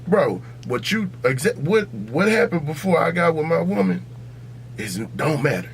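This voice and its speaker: A man's voice: a little high, big pitch swings, normal speed, vocal fry, a little hiss or hum. An American man co-hosting a podcast in casual, profane banter, speaking bluntly and emphatically and repeating his points. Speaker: podcast co-host.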